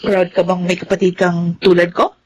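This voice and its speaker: An actress playing a devoted, gentle young daughter in a Tagalog radio drama. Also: female voice, lowish voice, level tone, moderate pace, a little hiss or hum, close to an everyday voice. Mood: grateful, warm.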